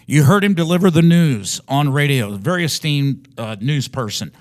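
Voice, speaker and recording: A man's voice: a little high, moderate pace, darkish tone. A man co-hosting a podcast in casual chat, with a folksy, bantering way of talking. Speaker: podcast co-host.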